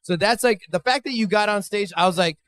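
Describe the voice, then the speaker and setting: A man's voice: sits high, fairly quick, fairly bright tone. A man from Chicago hosting a live podcast at a bar, loose and profane as he interviews a guest. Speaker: podcast host. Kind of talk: casual live podcast chat.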